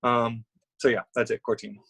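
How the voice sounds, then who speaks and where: A young man from Louisiana, a musician, answering interview questions in a relaxed, affable, rambling way on a live stream. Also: mid-range voice, normal speed, vocal fry, breathy edge. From Baton Rouge, Louisiana. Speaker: musician guest.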